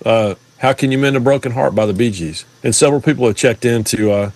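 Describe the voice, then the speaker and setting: A man's voice: mid-range voice, quick pace, a little mellow. A man co-hosting a sports radio show, chatting casually in a rambling, self-deprecating way with lots of restarts. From North Carolina.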